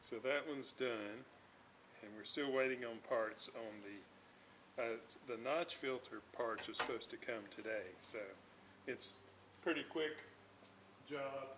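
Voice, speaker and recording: Male, unhurried workshop demonstrator thinking aloud, mid-range pitch, noisy recording. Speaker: host, electronics restorer. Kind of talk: unscripted hands-on repair demonstration. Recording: clear background noise.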